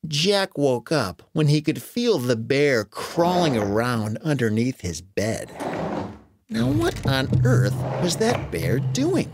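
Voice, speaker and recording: A man narrating a children's story in a dramatic, animated way with exaggerated emphasis. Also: on the high side.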